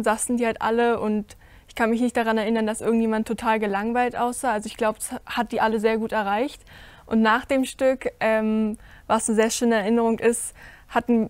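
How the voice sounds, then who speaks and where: A woman's voice: fairly high pitch, no echo. A young woman, an actor in a musical theatre piece, gives reflective interview answers about her memories, seated on a cinema stage. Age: young adult. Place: cinema auditorium stage before a curtain.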